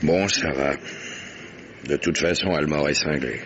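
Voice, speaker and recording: Gruff cop, male, radio noir: very low voice, menacing and curt, lo-fi old broadcast sound. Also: unhurried, fairly bright tone, a little hiss or hum. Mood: threatening. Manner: curt, bullying. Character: gruff, threatening male police lieutenant. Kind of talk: French radio crime drama acting. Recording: dull, lo-fi old radio recording.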